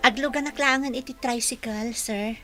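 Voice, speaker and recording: Actress as gentle, high-voiced Ilocano young woman. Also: steady tone, normal speed, soft background music, close to an everyday voice. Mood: coy, yielding. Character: gentle, hesitant young working woman being courted. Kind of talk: Ilocano radio drama acting.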